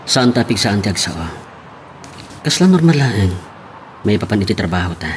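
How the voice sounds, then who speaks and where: A man acting a calm boss courting his secretary in an Ilocano radio drama. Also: on the low side, moderate pace, slight background noise. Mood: calm, coaxing. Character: Honesto, calm low-voiced male boss courting secretary. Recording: music bed behind dialogue.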